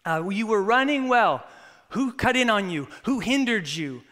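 Brief: thirties male pastor, high bright voice, animated storyteller, church reverb. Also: unhurried. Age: 30s. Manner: animated, storytelling with acted-out quotes. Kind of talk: sermon with prayer and stories. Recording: long reverb of a church hall.

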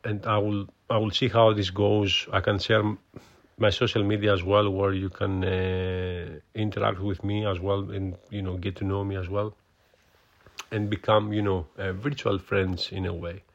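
A man's voice: fairly low pitch, level tone, normal speed, dull audio. A man with a Greek accent, hosting a solo podcast and talking with many hesitations and fillers. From Greece. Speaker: podcast host.

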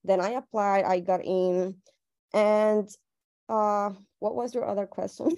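A woman's voice: mid-range voice, fairly even intonation, a little slow, darkish tone, clean tone. A young woman from Puerto Rico, a medical student, answering interview questions with lots of fillers and restarts. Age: young adult. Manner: loose, many fillers and restarts.

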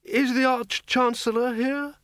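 A man's voice: very high-pitched, fairly even intonation, unhurried, fairly bright tone, smooth, dry recording, studio quality, pitched above the performer's own voice. A man voicing a mild, fretful undead male wizard who speaks politely and hesitantly.

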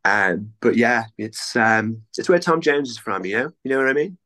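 A man's voice: medium pitch, expressive, moderate pace, pushed and bright. A Welsh man in his thirties, a musician chatting casually in an interview, rambling and self-deprecating with lots of fillers. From North Wales. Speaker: guest musician.

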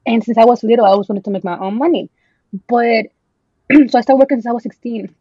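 A woman's voice: a little high, quick pace, warm tone, breathy edge, no room sound. Woman in her 20s from el salvador, chatty podcast guest, ums a lot, drops spanish words, muffled dry audio. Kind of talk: casual podcast chat.

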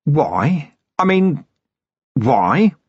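A man's voice: on the high side, drawn-out words, breathy edge, dry recording, voice pushed up, heavily acted. A man voicing a blunt, wry police commander who speaks dryly and skeptically. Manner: dry, deadpan, skeptical. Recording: dull, dry, lo-fi recording.

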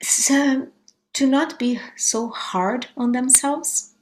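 A woman's voice: high voice, drawn-out words, poor audio. A woman from Brazil with a Brazilian Portuguese accent, a musician answering interview questions thoughtfully and often restarting her sentences. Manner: thoughtful, searching for words, frequent restarts. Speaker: musician guest. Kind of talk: conversational interview.

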